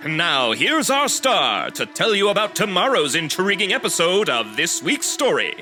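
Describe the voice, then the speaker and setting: A man as a high, bright radio announcer. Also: wildly swooping pitch, moderate pace, soft background music, heavily acted. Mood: grandly upbeat. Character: earnest old-time radio show announcer.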